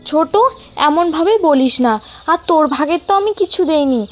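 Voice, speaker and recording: Music plays behind a woman acting a downtrodden, kindly elder wife from a cartoon fairy tale. The voice is high and plaintive, gently pleading and full of sorrow. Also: moderate pace, close to an everyday voice. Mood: hurt, protesting. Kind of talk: animated folk tale dialogue. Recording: voice over prominent background music.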